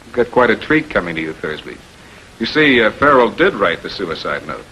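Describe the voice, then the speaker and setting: An American actor playing a shrewd public prosecutor in 1940s television crime drama style, questioning in a deliberate, authoritative way. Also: male voice, on the low side, very animated, pushed and bright, lo-fi sound, exaggerated voice. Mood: measured, in control. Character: shrewd, composed male public prosecutor confronting murder suspects. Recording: noisy 1940s film soundtrack.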